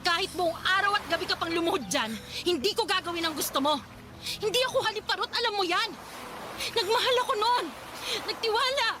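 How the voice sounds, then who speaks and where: Scorned young Filipina, very high voice, proud and confrontational; radio drama, noisy street behind. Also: female voice, a little fast. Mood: hurt, defiant. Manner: indignant, confrontational, sarcastic. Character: angry young woman, wounded ex-lover, proud and scornful.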